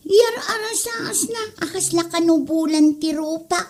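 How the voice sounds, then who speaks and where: A woman's voice: extremely high pitch, a little slow, darkish tone. A woman with an Ilocano accent playing a whiny little schoolgirl who tattles to her teacher in a comedy drama. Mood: whining, aggrieved. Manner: whining, tattling.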